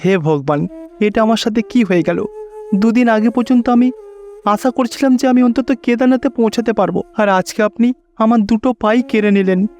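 A man's voice: high-pitched, normal speed, darkish tone, lo-fi sound. A man voicing a pious, poor older villager in a cartoon, pleading and exclamatory. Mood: anguished. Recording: faint music behind.

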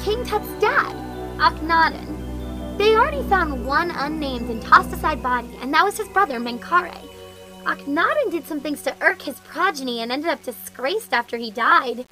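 Woman voicing a young bookish girl, high voice, swooping pitch, earnest explainer. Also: expressive, normal speed, music in the background, low-quality recording. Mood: lecturing. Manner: earnest, explaining, know-it-all. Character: eager young girl cabin hand, bookish. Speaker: puppet show character. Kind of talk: amateur puppet show voice acting.

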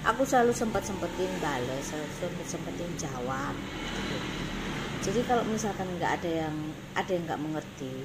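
A woman's voice: fairly low pitch, animated, brisk. A woman in her thirties with an East Javanese accent, talking casually to camera in an emphatic, blunt, repetitive explaining way. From Indonesia. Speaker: beauty salon owner and course teacher. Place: small indoor salon office. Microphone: phone at arm's length. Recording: noisy phone recording with room ambience.

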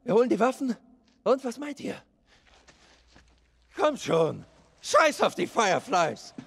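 A man's voice: very high voice, big pitch swings, slow, vocal fry, fairly bright tone, breathy edge, full character voice. An actor playing a beaten, cornered male arms dealer in a video game, pleading and whining in pain. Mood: nervous persuading. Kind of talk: dramatic video game acting, German dub.

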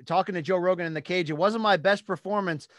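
A man with a high-pitched voice hosts sports talk from a small studio. His delivery is emphatic and animated, and the close microphone gives a very dry sound with little room in it. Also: fast talker, no echo, lo-fi sound. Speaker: podcast host. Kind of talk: sports talk recap and interview. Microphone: close studio microphone on boom arm.

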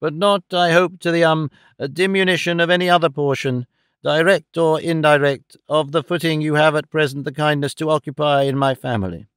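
A pompous, indignant middle-aged gentleman , acted out by a male narrator, pitched high and very clearly voiced. He blusters in grandiose Victorian storybook phrases, halting and hemming as he goes. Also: fairly even intonation, no room sound, studio quality, lightly acted. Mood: stately, halting. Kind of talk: audiobook character acting of a Victorian novel.

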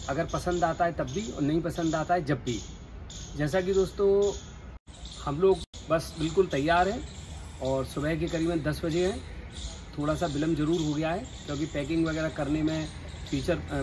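A man's voice: fairly high pitch, background noise. A middle-aged man talking straight to viewers in a casual travel vlog, recorded on a phone camera outdoors and in a moving car. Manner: chatty, addresses viewers directly. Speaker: travel vlogger. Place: outdoors by trees, then inside a moving car.

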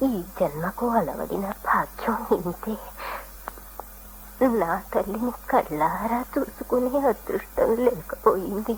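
An actress playing a frail, devoted old woman hermit in a radio drama, speaking tenderly and reverently with deep feeling. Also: animated, slow. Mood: tender welcome. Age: older. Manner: tender, reverent, emotional delivery. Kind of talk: classical Indian radio drama acting. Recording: noisy old radio broadcast recording.

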